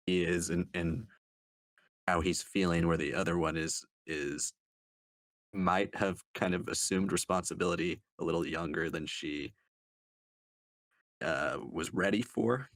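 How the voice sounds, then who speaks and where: A man with a very low voice talks through a casual book review. His delivery is halting and hesitant, with sentences that trail off. The sound is dry and close. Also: a bit bright, no echo. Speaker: podcast panelist reviewing books.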